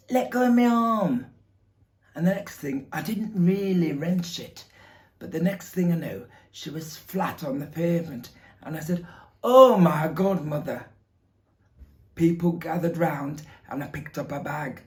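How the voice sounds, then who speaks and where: A man performing a fussy, exasperated son in a comic monologue, telling an anecdote. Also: a little high. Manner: anecdotal, quoting both sides. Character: fussy, exasperated son recounting his elderly mother's fall.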